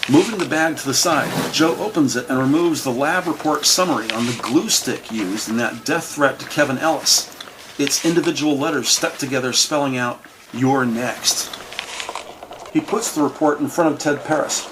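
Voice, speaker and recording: A man's voice: mid-range voice, moderate pace, background noise. A man narrating a scripted crime audio drama with vivid, slightly wry storytelling.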